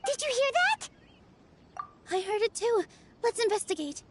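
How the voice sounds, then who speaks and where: A woman voicing an excitable, earnest girl companion in an anime game, with an eager, exclamatory delivery. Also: extremely high pitch, moderate pace, heavy background noise. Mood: curious, alert.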